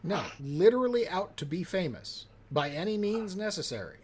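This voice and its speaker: A man's voice: sits high, big pitch swings, normal speed, a little mellow, slightly rough. A man chatting casually as a podcast co-host in a blunt, dry, skeptical manner. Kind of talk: casual chat. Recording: faint background ambient noise.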